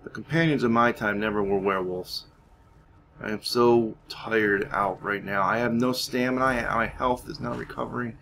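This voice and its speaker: A man's voice: lowish voice, steady tone, normal speed, creaky, a bit bright, a little hiss or hum. A man giving casual, half in-character roleplay commentary over a video game, moving between muttered asides and theatrical remarks. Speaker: gaming video host.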